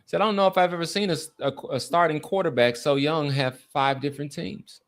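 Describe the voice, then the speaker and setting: A man's voice: a little high, brisk. A man hosting a sports podcast, debating casually and repeating phrases for emphasis.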